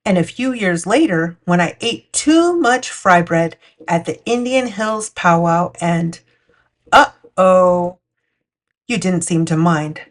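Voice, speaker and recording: A woman narrating a picture book for children as a storytime host. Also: fairly low pitch. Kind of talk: storytime read-aloud narration.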